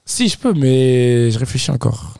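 A man's voice: mid-range voice, very animated, normal speed, creaky, mellow, dry recording, studio quality. A man guesting on a podcast, chatting casually and joking evasively. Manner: playful, evasive jokes. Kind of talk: casual chat.

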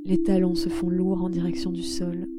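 A woman guiding a relaxation meditation in a soothing, unhurried manner with repetitive instructions. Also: fairly low pitch, completely flat delivery. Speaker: yoga nidra guide. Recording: loud meditative music bed. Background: singing bowl meditation music.

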